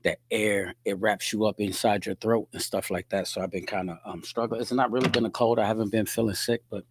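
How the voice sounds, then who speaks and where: A middle-aged man hosting his podcast alone, hoarse and relaxed, chatting to viewers and answering their comments. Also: fairly low pitch, brisk. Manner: laid-back, talks straight to viewers, reads out comments. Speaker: podcast host. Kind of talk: casual solo livestream chat.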